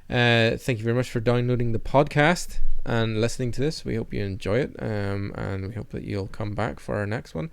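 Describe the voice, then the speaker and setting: A man's voice: fairly low pitch, vocal fry, clean tone. A man in his thirties co-hosting a podcast from a small office studio, chatting casually and rambling with a self-deprecating humour. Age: 30s. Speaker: podcast co-host. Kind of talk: casual chat. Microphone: studio mic on boom arm.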